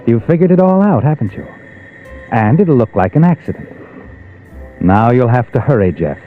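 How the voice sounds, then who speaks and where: A man as an ominous, insinuating narrator of fifties radio suspense, with dramatic swings in pitch. The old recording is muffled, with faint music behind. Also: big pitch swings, moderate pace, natural voice. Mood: sinister, urging.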